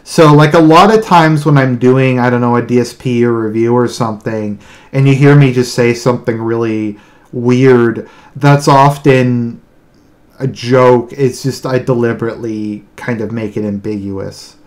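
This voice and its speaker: A man with a deadpan delivery speaks casually in a solo monologue, often repeating words and restarting his sentences. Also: medium pitch, unhurried. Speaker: online video commentator. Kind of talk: casual monologue explaining own humour.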